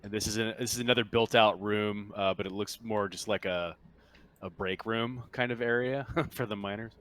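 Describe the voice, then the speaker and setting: Low-voiced man running a tabletop game. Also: fairly even intonation, moderate pace, a bit bright, clear, resonant tone. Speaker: game master. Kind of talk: casual tabletop game narration.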